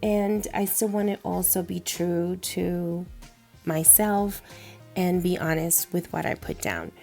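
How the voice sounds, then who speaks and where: A woman in her thirties from Puerto Rico, a native Spanish speaker with a Puerto Rican accent, gently and reflectively talking through her journal pages in a calm vlog voice-over. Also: on the low side, vocal fry, soft background music. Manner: slow, gentle and reflective, with soft fillers. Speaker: journaling vlogger.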